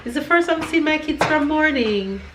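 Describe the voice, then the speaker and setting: A woman's voice: high voice, lively intonation, normal speed. A Jamaican woman in her thirties with a stuffy nose, chatting casually to her camera and her kids. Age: 30s. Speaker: family vlogger. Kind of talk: casual family vlog. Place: home kitchen. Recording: echoey home room with noise.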